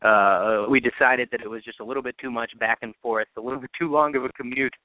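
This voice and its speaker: A man's voice: medium pitch, steady tone, fast, no echo. A man, a radio sports announcer, giving conversational interview answers as a guest over a narrow phone line.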